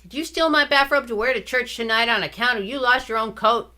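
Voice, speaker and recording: A woman's voice: on the high side, fairly quick, bright, forceful tone, pitched above the performer's own voice. Woman doing a wailing scared little boy. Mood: pleading. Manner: whiny, wailing, stammering.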